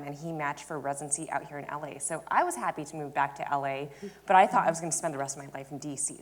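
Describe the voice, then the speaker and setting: A woman in her thirties, a startup founder on a panel, talking candidly and informally about herself. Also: deep voice. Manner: informal, peppered with "like" and self-quotation. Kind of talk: candid conversational storytelling on stage. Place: indoor event stage before an audience. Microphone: lapel mic.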